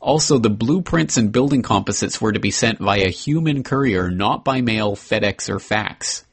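A man hosting a podcast, reading a memoir excerpt aloud in an earnest, measured way with the odd slip and restart. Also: on the low side, slightly rough.

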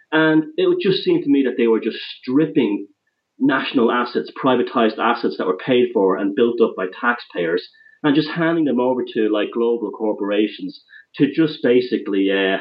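An Irish man, a guest author, telling his story in a rambling, conversational way over the phone. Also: mid-range voice. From Ireland. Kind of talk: phone interview. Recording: dull, distant phone line.

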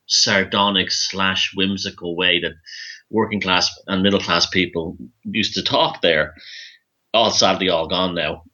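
A man in his fifties with a Dublin Irish accent, a podcast guest telling stories in an animated way with stumbles and restarts. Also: lowish voice. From Ireland. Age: 50s. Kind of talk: conversational interview. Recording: dull lo-fi remote line.

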